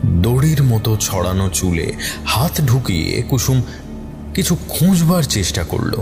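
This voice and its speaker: A man's voice: lowish voice, sing-song, measured pace, vocal fry, music in the background. A man reading a scripted horror story as its narrator.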